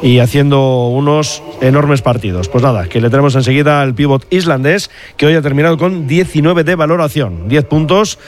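A man's voice: a little high, moderate pace. A male sports radio commentator who describes the scene in an easygoing way.

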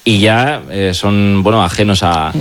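A man on a sports radio panel, giving measured football analysis. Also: fairly low pitch.

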